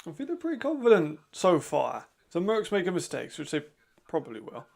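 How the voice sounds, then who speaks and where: Man, raspy, gravelly, slightly high pitch, casual watch-along host, chatty. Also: wildly swooping pitch, brisk, darkish tone. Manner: chatty, laughs often, talks to himself. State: hay fever. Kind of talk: casual live commentary.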